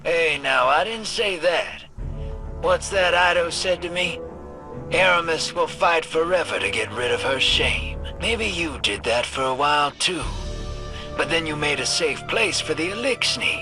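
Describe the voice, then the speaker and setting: An actor playing a cynical but kindly streetwise rogue in a video game, casual, teasing and folksy. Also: male voice, a little high, lively intonation, measured pace, music in the background. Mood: teasing, sincere. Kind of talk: video game cutscene acting.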